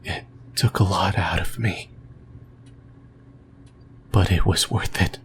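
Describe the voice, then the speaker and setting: A lonely, fixated male sleep paralysis demon , acted by a man, whispers in a low, breathy voice. He speaks very slowly and haltingly, intimate and rambling, as in an ASMR horror roleplay. Noticeable noise sits behind the voice. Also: fairly even intonation. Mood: yearning, possessive.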